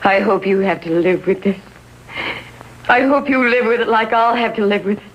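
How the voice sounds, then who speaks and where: A woman's voice: mid-range voice, moderate pace, close to an everyday voice. A woman playing a bitter, grief-stricken American mother in a 1950s TV drama, speaking in anguished, accusing tones. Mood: bitter grieving. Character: bitter, grief-stricken mother blaming police for son's death. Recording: dull vintage TV soundtrack.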